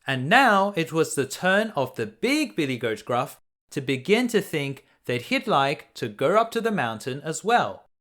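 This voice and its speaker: A man reading a children's fairy tale aloud as a storyteller, in an expressive, deliberate way. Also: fairly high pitch.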